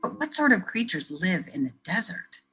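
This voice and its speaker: A woman educator reading a picture book aloud to children, pausing to ask them questions in a gentle, expressive way. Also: on the low side, breathy edge. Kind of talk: children's read-aloud with teacher commentary.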